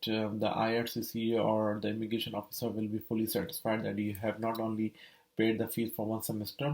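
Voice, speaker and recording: A man's voice: on the low side, darkish tone. A young man, casually explaining his study permit process, rambling with many fillers and restarts. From Saudi Arabia. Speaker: vlogger sharing his study permit experience.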